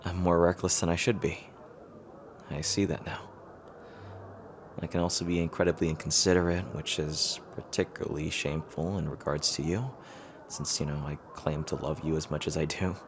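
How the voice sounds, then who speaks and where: Deep-voiced earnest young-hearted rival king, played by a man. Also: steady tone, slight background noise. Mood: remorseful. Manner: hesitant, halting, earnest. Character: earnest lovestruck rival king proposing to an enemy knight. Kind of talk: romantic audio roleplay acting, intimate ASMR.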